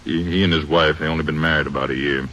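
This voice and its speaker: An American actor playing a weary, folksy small-town feed merchant in an old radio drama, talking plainly and a little hesitantly. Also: male voice, very deep voice, steady tone, quick pace, a bit bright. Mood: folksy, uneasy. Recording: muffled old radio recording, faint noise.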